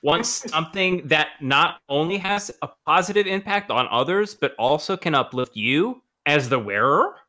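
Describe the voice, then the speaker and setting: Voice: medium pitch, lively intonation, normal speed, hardly any pauses, bright, forceful tone. A podcast co-host reading absurd marketing copy aloud, with joking asides.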